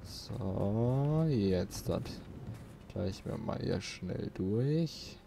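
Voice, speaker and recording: A man's voice: lowish voice, lively intonation, very slow, creaky. A man giving casual let's play commentary, grumbling to himself and swearing mildly. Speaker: let's player. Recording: noisy, game sound behind voice. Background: video game ambience.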